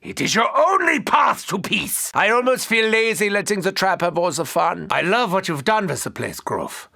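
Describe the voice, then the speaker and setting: An actor playing an eccentric, haughty German mad scientist doctor in a video game, theatrical and grandiose, with a German accent. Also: male voice, high-pitched, big pitch swings, moderate pace, creaky, pushed and bright, no echo, studio quality, exaggerated voice. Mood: sardonic. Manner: theatrical, grandiose, self-important. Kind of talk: video game character quotes.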